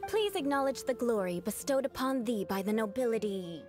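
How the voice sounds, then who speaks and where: A woman's voice: fairly high pitch, lively intonation, brisk, over music, lightly acted. An actress playing a proud young aristocratic woman knight in a video game, aloof and formal with precise, haughty diction. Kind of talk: scripted video game voice acting.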